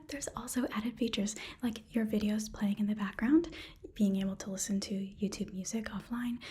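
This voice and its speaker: A woman's voice: a little high, dark tone, slightly rough. A woman whispering breathily in a casual ASMR style as she reads a sponsor message.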